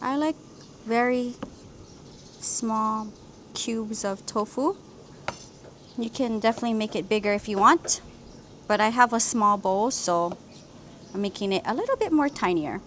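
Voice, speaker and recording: A woman's voice: on the high side, animated, measured pace, pauses often, fairly bright tone, a little hiss or hum. A woman from Hawaii hosting a cooking vlog, explaining each step of a simple recipe in an upbeat way. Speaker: cooking channel host.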